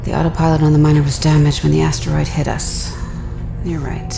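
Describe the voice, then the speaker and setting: An anxious but determined young female ship captain in a space audio drama. Her rather low voice rings in a roomy echo over music, urgent as she works things out aloud. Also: little pitch movement, fairly quick, vocal fry. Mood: urgent planning.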